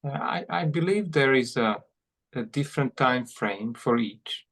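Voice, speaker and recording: A man's voice: mid-range voice, expressive, fairly bright tone. A man hosting an interview, asking long questions and often repeating words as he searches for them. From Hungary. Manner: halting, repeats words while forming questions. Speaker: podcast host.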